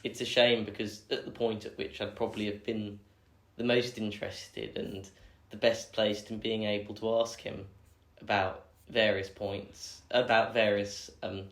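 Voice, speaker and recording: A young man, answering interview questions in a thoughtful, measured way with many pauses and fillers. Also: lowish voice, flat delivery, a bit bright. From England. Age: young adult. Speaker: guest. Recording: echoey room.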